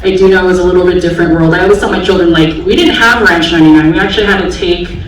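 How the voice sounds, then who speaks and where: A woman's voice: fairly low pitch, racing. A woman in her forties from the Bay Area, a special education teacher telling her own story candidly to an audience, with many fillers. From San Francisco Bay Area, US. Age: 40s. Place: large auditorium stage. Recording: echoey hall from far off, faint noise.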